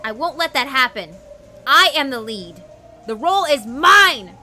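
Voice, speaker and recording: Woman acting out a fierce, shrill schoolgirl. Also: lively intonation, measured pace, bright, forceful tone, faint music behind, heavily acted. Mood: defiant, agitated. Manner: intense, defiant outbursts. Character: Midori, fierce, panicking schoolgirl lead actress.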